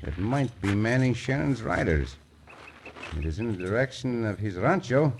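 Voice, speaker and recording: An actor playing a bold, commanding Mexican cowboy hero in an old radio western, with formal phrasing and a Mexican accent. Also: male voice, mid-range voice, big pitch swings, moderate pace, creaky. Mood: grave, resolute. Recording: noisy old radio recording.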